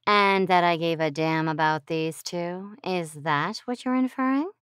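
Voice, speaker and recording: A woman's voice: on the low side, measured pace, clear, resonant tone, no room sound, studio quality. A woman voicing a scheming female villain who commands the others, mocking and theatrical. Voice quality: mocking, theatrical, swings from whisper to sharp. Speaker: voice actor. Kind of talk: fan audio drama acting.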